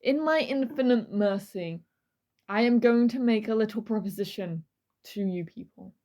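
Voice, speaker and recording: A woman's voice: medium pitch, normal speed, warm tone. A woman co-hosting a true crime podcast, switching between dramatic reading and casual, irreverent banter. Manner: playful, irreverent, swears casually.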